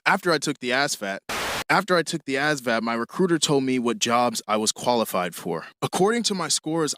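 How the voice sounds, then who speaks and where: A young man with a bright, slightly high voice narrates his own story in a casual storytime style. He drops in playful, self-mocking asides, and the sound is close and dry. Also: no room sound. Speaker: animator and video creator telling their own story.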